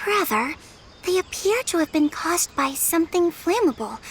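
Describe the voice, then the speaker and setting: A woman voicing a thoughtful, gentle young helper in a fantasy video game, earnest and measured. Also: extremely high pitch, big pitch swings, slight background noise, exaggerated voice.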